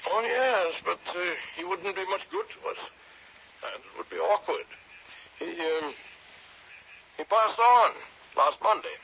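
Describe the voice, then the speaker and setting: An actor playing a sleepless, sardonic British colonial engineer in a radio drama, clipped and brooding. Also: male voice, high voice, lively intonation, unhurried, vocal fry, pauses often, bright, forceful tone, heavily acted. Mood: dry irony. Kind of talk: old-time radio drama acting. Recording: muffled, noisy old radio drama tape.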